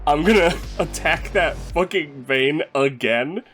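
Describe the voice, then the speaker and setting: A man's voice: a little high, animated, slow. A man chatting casually and jokily as a player at a tabletop game. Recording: music behind the voice. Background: background music.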